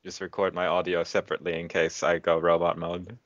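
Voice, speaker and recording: A man's voice: deep voice, little pitch movement, brisk, a bit bright, no echo, muffled recording. A man chatting casually over an internet voice call. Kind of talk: casual chat. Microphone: voice call over Skype.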